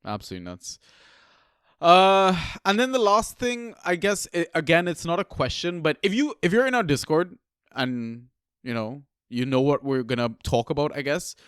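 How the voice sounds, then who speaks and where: A man's voice: on the high side, expressive, moderate pace, fairly bright tone, clean tone, dry recording, clean studio sound. A young man from Trinidad co-hosting a podcast, telling stories in a laid-back, swear-heavy way with many fillers. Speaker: podcast co-host. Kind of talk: casual chat, storytelling.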